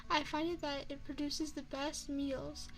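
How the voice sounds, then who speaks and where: A woman playing an elegant, flirtatious vampire chef in an ASMR roleplay, speaking formally and courteously with deliberate, teasing phrasing into a close microphone. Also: high-pitched, level tone. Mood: gracious, proud. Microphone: close binaural microphone.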